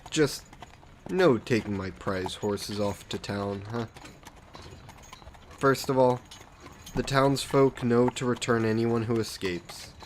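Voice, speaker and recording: A man acts out a lordly male vampire with a mid-pitched voice, addressing a listener in a fantasy audio drama. He speaks very slowly, with suave condescension and soft, possessive coaxing. Background noise runs behind the voice. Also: natural voice. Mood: smug, reassuring. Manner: unhurried, smug, genteel, softly coaxing. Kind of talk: scripted roleplay audio drama monologue.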